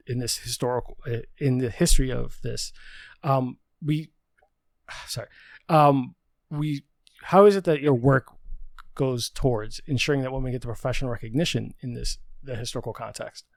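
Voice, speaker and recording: A man hosts a casual interview in a dry recording with little room sound. His voice sits at a middle pitch, and his delivery is informal and halting. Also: creaky, dry recording. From Dominican. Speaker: podcast host.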